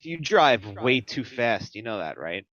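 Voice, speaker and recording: A man's voice: mid-range voice, sing-song, measured pace, a bit bright, highs cut off. A man acting a reluctant veteran cop near retirement, complaining and exasperated in a screenplay table read.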